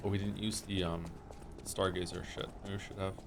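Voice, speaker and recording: A man with a slightly croaky voice streaming a video game, thinking aloud and correcting himself as he reads game text and chats. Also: low voice, little pitch movement, brisk, background noise. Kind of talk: casual gameplay commentary, reading game text aloud.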